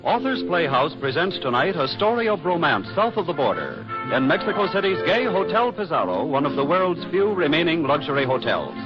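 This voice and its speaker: Genial radio play narrator, animated intonation, theatrical and polished, muffled 1940s broadcast, musical backing. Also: male voice, fairly high pitch, fairly quick, hardly any pauses, loud music behind the voice. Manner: polished, storytelling, addresses the listeners. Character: genial storytelling radio announcer. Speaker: narrator of a radio drama series. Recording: dull, lo-fi 1940s radio recording.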